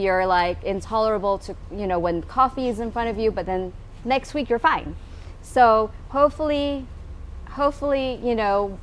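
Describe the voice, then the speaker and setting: A woman in a casual conversation at a tasting, explaining earnestly with many fillers. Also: medium pitch. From United States. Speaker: co-founder of a taste and smell group. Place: tasting room in a tea factory. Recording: faint room noise.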